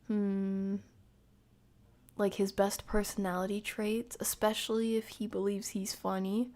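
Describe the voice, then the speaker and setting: A woman chats casually with her livestream viewers. Her voice sits at an average pitch and she takes her time, drawing words out. She is playful and leans on internet slang as she comments. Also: flat delivery, darkish tone. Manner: slangy, playful, drawn-out delivery. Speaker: streamer reacting to a video with live chat.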